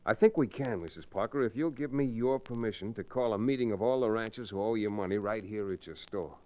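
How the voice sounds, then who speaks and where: Guy acting low voiced western radio hero, calm drawl, dry taunts, old radio recording. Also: brisk, lightly acted, rough recording. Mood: serious, calm. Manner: calm, dryly taunting, folksy. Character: cool, wry frontier hero, plainspoken rancher.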